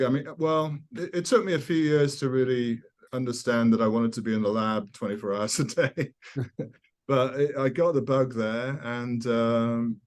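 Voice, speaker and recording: An older man from South Wales with a softened British accent, speaking as a guest scientist in a conversational, halting, informal way. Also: medium pitch. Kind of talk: conversational interview, personal recollection.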